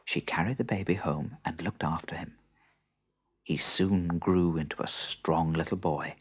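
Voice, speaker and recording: A man narrating a children's story in an expressive storytelling style. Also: low voice, a little slow, husky.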